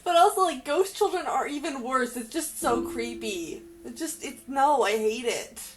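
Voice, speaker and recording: A young woman co-hosting a casual chat, reacting to stories in an animated, exclamatory, informal way. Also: high voice, moderate pace. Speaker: podcast co-host.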